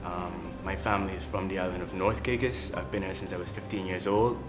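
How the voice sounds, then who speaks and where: A man, an attorney, introducing himself and speaking in a measured, deliberate way on a panel. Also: sits low, steady tone. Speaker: attorney and entrepreneur. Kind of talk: discussion. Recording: music playing behind.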